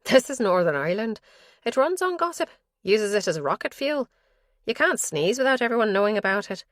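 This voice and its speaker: A woman's voice: mid-range voice, very animated, fairly quick, no room sound, clean studio sound, pitched above the performer's own voice, natural voice. A woman voicing a blunt, sarcastic female investigator who speaks with emphasis.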